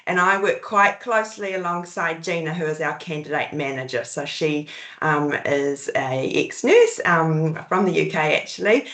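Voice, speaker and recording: New Zealand woman, low voice, relaxed and hesitant; roomy video-call sound. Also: moderate pace. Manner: easygoing, hesitant, frequent ums. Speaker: recruitment consultant. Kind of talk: casual webinar self-introduction. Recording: echoey room over a video call.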